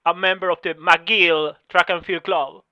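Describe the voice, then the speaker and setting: A middle-aged man whose first language is neither English nor French, giving halting testimony full of pauses and restarts over a video call. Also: sits high, little pitch movement, pushed and bright, smooth, no room sound. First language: not English or French. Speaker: Masters track and field athlete. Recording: slightly muffled video call audio.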